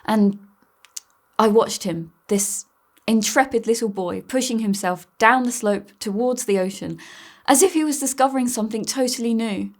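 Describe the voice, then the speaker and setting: A woman's voice: on the high side, moderate pace, a little mellow, natural voice. An actress playing a tender, wonderstruck mother remembering her child in a stage monologue. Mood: tender wonder.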